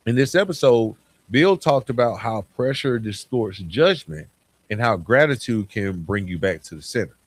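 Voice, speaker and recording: Male podcast host, low voice, reflective solo monologue, plain-spoken delivery with pauses for emphasis.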